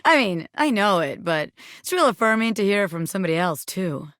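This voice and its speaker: A woman's voice: medium pitch, big pitch swings, a little fast, fairly bright tone, no room sound, studio quality. A woman voicing a cocky, self-important bobby pin who fancies itself a crime boss in a video game, swaggering, slangy and boastful. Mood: boastful, scheming. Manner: swaggering, slangy, boastful, peppering talk with pet phrases. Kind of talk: video game character voice acting.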